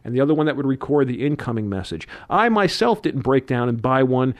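A man's voice: medium pitch, expressive, a little fast. A man in his fifties co-hosting a radio talk show, reminiscing and laying out dates and figures in a lecturing way. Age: 50s.

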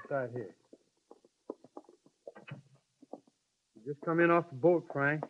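A man's voice: a little high, fairly quick, a little hiss or hum, lightly acted. An actor playing a surly, suspicious frontier henchman in a 1950s radio western, curt and menacing, with an American western accent. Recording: muffled vintage radio broadcast.

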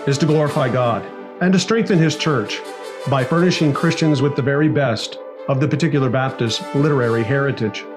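A man reading a scripted promotional announcement for a nonprofit publisher. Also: medium pitch. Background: music under the voice.

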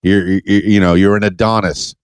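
A man's voice: low voice, little pitch movement, normal speed, clean tone, no echo, studio quality. A man from Miami hosting a podcast, asking rambling, playful questions with many stammers and restarts. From Miami, USA. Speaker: podcast host. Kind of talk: casual interview.

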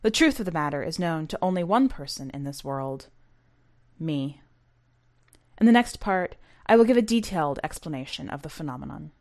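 A woman narrating a short story for a podcast in a measured, precise, scripted audiobook style. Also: low voice, lively intonation, darkish tone.

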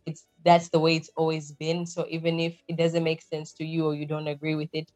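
A woman's voice: low voice, completely flat delivery, a little fast, darkish tone, no room sound, faint music behind. A woman from Zambia in her thirties, a podcast guest, explaining her background and ideas thoughtfully with frequent fillers. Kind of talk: conversational interview answers.

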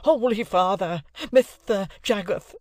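A man voicing an excitable, pleading male supplicant with a heavy lisp in an audiobook. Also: very high-pitched, moderate pace, dry recording, clean studio sound, pitched above the performer's own voice. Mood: panicked.

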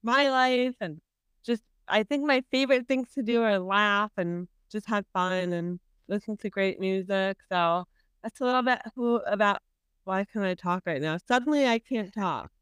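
Woman, twenties, very clear voice, giggly podcast co-host, dry close mic. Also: medium pitch, normal speed, fairly bright tone, no room sound. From New York. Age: 20s. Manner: giggly, quirky, chatty. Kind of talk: casual chat.

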